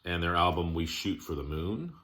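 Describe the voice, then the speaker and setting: A man giving a casual show-and-tell of his collection, plainspoken, listing items in short, halting phrases. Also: sits low, expressive, fairly bright tone, a little hiss or hum.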